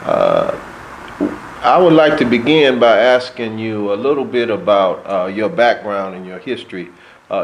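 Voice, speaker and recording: A man interviewing a guest, asking slow questions broken by frequent "uh" fillers. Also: on the low side, a little hiss or hum, low-quality recording. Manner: halting, many "uh" fillers, short affirmations.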